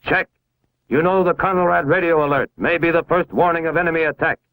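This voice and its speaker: A man's voice: a little high, fairly quick, a bit bright, dry recording, rough recording. An American man narrating a scripted 1950s civil defense film in a formal, authoritative, instructional manner, on a vintage film soundtrack. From United States.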